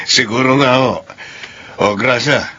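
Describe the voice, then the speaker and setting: A man's voice: medium pitch, steady tone, slow pace, sharp tone. An actor playing an honest, patient married working man in a Filipino radio drama. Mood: easygoing, cheerful. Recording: dull lo-fi audio with clear hiss.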